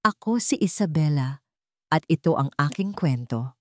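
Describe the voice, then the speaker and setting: A woman voicing a wounded but resolute young heiress in a story, speaking in measured, firm, quietly defiant declarations. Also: deep voice, lively intonation, no room sound, studio quality. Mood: rehearsed, earnest.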